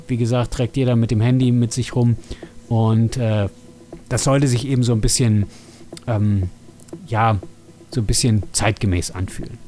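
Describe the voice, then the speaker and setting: A man's voice: mid-range voice, a little mellow. Male podcast host and writer, informal behind-the-scenes chat, English loanwords, music underneath. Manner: informal, sprinkles English words into his talk. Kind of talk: casual behind-the-scenes talk to listeners.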